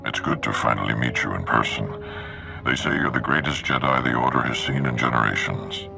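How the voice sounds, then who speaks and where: A deep gravelly Jedi master. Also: male voice, heavily acted. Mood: solemn. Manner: solemn, measured. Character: grave older male Jedi master, commanding. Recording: game audio with music under it.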